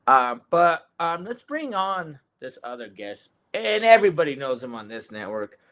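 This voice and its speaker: This is a man in his forties hosting a loose sports chat, talking a touch high for a man. He rambles, restarts and fills the gaps with ums and uhs. He is heard through a video call. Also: very animated, moderate pace, fairly bright tone. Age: 40s. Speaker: podcast host. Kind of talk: casual sports podcast chat.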